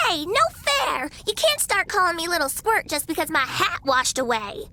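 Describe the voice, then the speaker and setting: A brash little girl, speaks in a very high, sharp voice that swings widely. She is blunt, indignant and pouty, a cartoonish video game character. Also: sing-song, unhurried, a little hiss or hum, full character voice.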